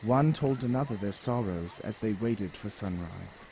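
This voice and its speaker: A man's voice: lowish voice, unhurried, darkish tone, slight background noise, poor audio. A man giving dramatic scripted narration of a fairy tale for an audio drama. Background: music.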